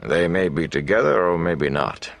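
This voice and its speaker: Man voicing a smooth, low-pitched old-world gleeman. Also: expressive, soft background music. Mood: guarded, probing. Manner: measured, smooth, theatrical when reciting. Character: smooth, shrewd male traveling gleeman and storyteller. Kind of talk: fantasy audiobook character dialogue.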